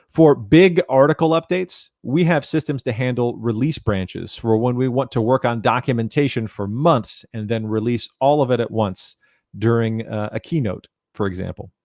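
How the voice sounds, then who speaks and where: A man in his thirties presents technical material with a mid-range voice. His delivery is measured and instructional, like a presenter walking through a process step by step. The sound is dry, captured on a headset in a small room. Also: mid-range voice, a little mellow, no echo. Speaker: presenter, documentation content developer. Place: small indoor room, home video call.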